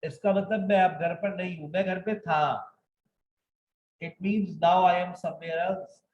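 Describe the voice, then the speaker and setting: A man's voice: high voice, fairly even intonation, muffled recording. Man in his thirties teaching grammar. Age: 30s. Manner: switches between quick explanations and slow, dictated example sentences. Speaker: English teacher. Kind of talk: online grammar lesson.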